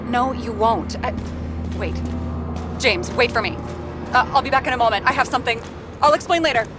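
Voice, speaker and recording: A woman's voice: a little high, normal speed, natural voice. A woman acting an urgent, worried partner to a detective in a cinematic game, insistent and commanding. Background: loud music.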